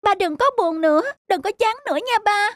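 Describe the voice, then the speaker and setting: High-voiced, earnest little girl. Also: brisk. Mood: earnest, pleading. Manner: childlike, pleading. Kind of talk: dubbed cartoon dialogue.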